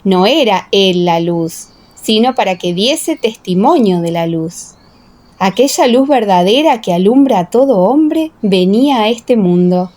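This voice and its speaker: Woman narrating scripture, medium-pitched, solemn. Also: animated, measured pace, a little mellow. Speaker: audiobook narrator.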